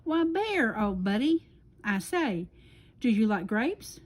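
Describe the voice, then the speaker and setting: A woman's voice: on the high side, very animated, slow pace, heavily acted. Woman voicing a smug, pompous sly fox. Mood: scheming, coaxing. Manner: pompous, condescending, theatrical. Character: sly, smug male fox, scheming smooth-talker.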